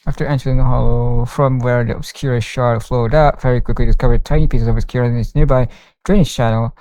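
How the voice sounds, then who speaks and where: A man's voice: medium pitch, steady tone, quick pace, darkish tone, dry recording. A man live-streaming a game, reading its dialogue aloud in an informal way. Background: game music, faint.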